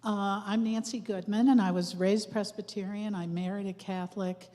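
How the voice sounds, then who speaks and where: A woman's voice: mid-range voice, steady tone, normal speed, mellow. A woman introducing herself in a class, light and amused. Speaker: class participant. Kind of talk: casual self-introduction. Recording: long church-hall reverb.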